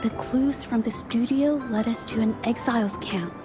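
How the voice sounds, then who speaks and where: Actress as a high-voiced, thoughtful young investigator over music. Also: female voice, normal speed, natural voice. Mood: thoughtful, analytical. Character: polite, thoughtful young female investigator with artistic gifts. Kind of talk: video game story voice acting. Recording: music under the voice.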